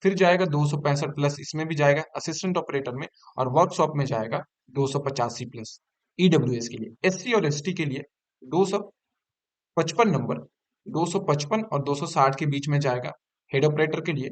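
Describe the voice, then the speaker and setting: A man's voice: on the high side, moderate pace. A man giving an informal explanation to exam candidates as an online presenter, repeating figures and key points for emphasis.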